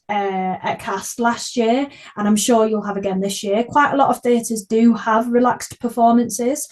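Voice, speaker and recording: Female podcast host, fairly high voice, earnest and effusive, informal interview chat. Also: a little mellow.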